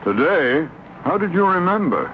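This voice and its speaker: A man playing a hearty, blustering older American gentleman in vintage radio comedy style. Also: on the high side, very animated, normal speed. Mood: jovial. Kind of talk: 1940s-50s radio situation comedy acting. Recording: tinny, very noisy old radio recording.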